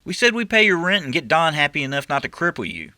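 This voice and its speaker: A man's voice: fairly high pitch, big pitch swings, quick pace, bright, forceful tone, dry recording, clean studio sound, pitched above the performer's own voice, heavily acted. Guy playing nervous reluctant sidekick, arguing his case, raised voice, audiobook w/ music. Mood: pleading. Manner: protesting, reasoning. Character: anxious, cautious reluctant sidekick in a con.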